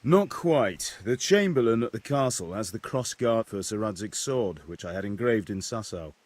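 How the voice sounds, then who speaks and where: A gruff joking blacksmith father. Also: lowish voice, lively intonation, normal speed, a little mellow, no room sound. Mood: gruff teasing. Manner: blunt, teasing, bossy. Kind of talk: video game cutscene acting.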